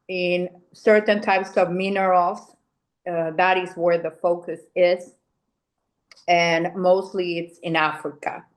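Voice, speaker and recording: A woman with a non-native English accent, answering interview questions as an investment consultant, halting with many fillers and restarts. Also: on the low side. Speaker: podcast guest, investment consultant.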